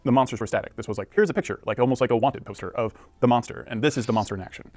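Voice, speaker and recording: Male interviewer, mid pitch, very rapid conversational delivery. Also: a little mellow, no room sound.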